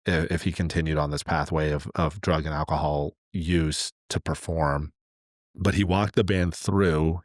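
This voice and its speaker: A man's voice: low voice, no room sound, studio quality. A man co-hosting a podcast, telling stories in a casual, conversational way and acting out quoted remarks as he goes. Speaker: podcast co-host.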